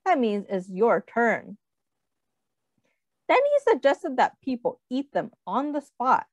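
A woman reading a picture book aloud to young children, expressively, pausing to explain and ask questions. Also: fairly high pitch, big pitch swings, no echo, dull audio. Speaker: read-aloud host, teacher.

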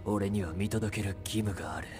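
An actor playing a brooding, solemn young poet in a game, speaking with grave, formal restraint. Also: male voice, fairly low pitch, fairly quick, big-room sound, over music, lightly acted. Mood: solemn, urgent. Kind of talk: video game cutscene acting.

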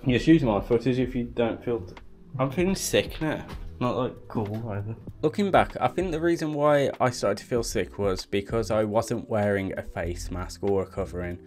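A man's voice: medium pitch, normal speed, darkish tone, soft background music. A young man, vlogging casually while exploring an abandoned factory, laughing often. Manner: chatty, laughs often, loose rambling. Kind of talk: casual vlog with voice-over narration. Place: abandoned factory indoors and on a roof.

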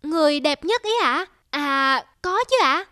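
A woman's voice: high voice, expressive, unhurried, sharp tone, dry recording, studio quality, full character voice. A woman voicing an innocent, stubborn little child in a fairy-tale cartoon, guileless and earnest.

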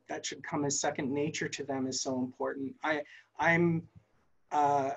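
A man speaking earnestly and haltingly in a panel discussion on a video call, drawing out phrases. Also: fairly high pitch, poor audio. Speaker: animation creator on a panel.

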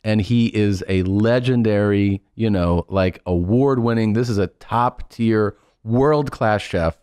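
A man in his forties hosts a casual podcast chat from a small studio. His voice sits low and he jokes and swears easily. The close studio mic gives a dry, tight sound. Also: slow pace, a little mellow, clear, resonant tone, no room sound. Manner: joking, swears freely, puts on mock voices. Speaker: podcast host. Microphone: studio boom mic, close.

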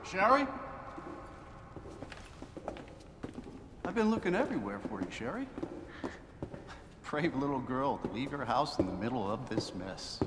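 A menacing, corrupt man in authority played by a male game actor, barking commands and sneering threats. His pitch swings widely, and the sound rings in a large hall over faint noise. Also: very animated, normal speed, lightly acted. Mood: feigned concern. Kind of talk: dramatic video game cutscene acting.

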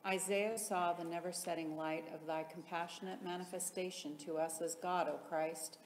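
Lower-pitched woman as solemn liturgical reader, formal, echoing interior with faint noise. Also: fairly even intonation, normal speed. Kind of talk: solemn chanted recitation of hymns. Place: large reverberant interior. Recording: echoey reverberant hall, faint background noise.

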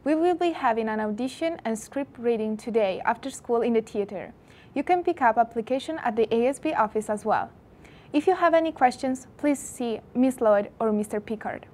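A woman's voice: on the high side, lively intonation, moderate pace, warm tone. Teenage girl from Italy, student anchor. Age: teens. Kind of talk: reading school announcements. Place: school TV studio.

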